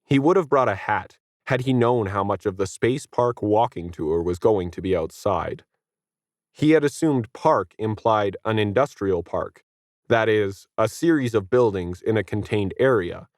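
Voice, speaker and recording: A man's voice: lowish voice, expressive, unhurried, darkish tone, no room sound, clean studio sound. A man reading scripted fiction as a story narrator.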